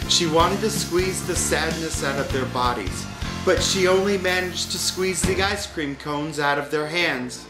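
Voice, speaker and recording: A man narrates a storybook in a wry, deliberate way. His voice sits a little high and moves slowly. The room sounds echoey, and music plays behind him. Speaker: audiobook reader.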